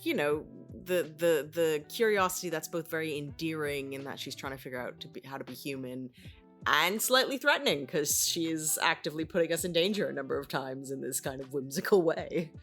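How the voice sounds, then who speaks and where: A woman's voice: low-pitched, animated, fairly quick, fairly bright tone. A woman co-hosting a casual discussion in a reflective, wry, conversational way. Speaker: co-host, voice actor. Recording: music playing underneath.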